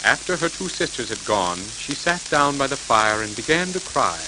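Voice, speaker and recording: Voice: mid-range voice, very animated, normal speed. A storyteller reading scripted fairy tales to children on a crackly 1940s record.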